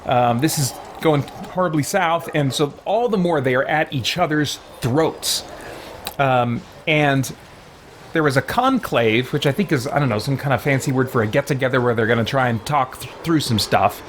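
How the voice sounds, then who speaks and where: A man's voice: mid-range voice, normal speed. Casual man streaming over background noise. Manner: informal, digressive, explanatory. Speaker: game livestreamer. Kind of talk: casual rambling recap to a live chat.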